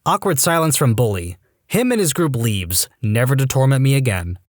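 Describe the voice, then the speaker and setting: A male narrator reads posts in a scripted, wry storytime style. His pitch swings in a lively way from line to line. The sound is close and dry, with no room around it. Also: moderate pace, dry recording. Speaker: narrator reading online posts.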